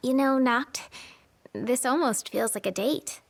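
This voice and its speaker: A woman's voice: high-pitched, a little slow, gravelly, full character voice. A woman playing a cheerful, friendly, teasing teenage girl in a video game cutscene. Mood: playful, upbeat.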